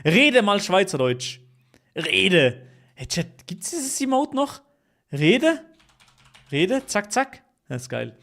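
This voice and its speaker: A young man with a voice a little higher than most men's. He chats loosely with his stream audience, joking, swearing and repeating himself. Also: sing-song, takes it slowly, gravelly, pauses often, a bit bright. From Switzerland. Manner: chatty, jokey, repeats himself, swears at chat. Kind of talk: casual livestream chat with viewers.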